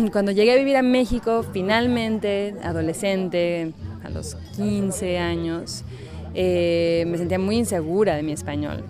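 A woman's voice: medium pitch, steady tone, unhurried, music in the background. A woman writer with a slightly unusual Mexican Spanish accent, answering interview questions thoughtfully and searching for her words.

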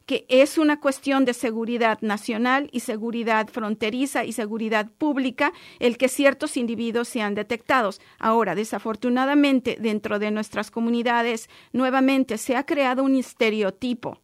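A woman lawyer, a native Spanish speaker, explaining a legal point in a radio discussion, measured with frequent fillers and restarts. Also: fairly high pitch, steady tone, dry recording, studio quality. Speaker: lawyer guest.

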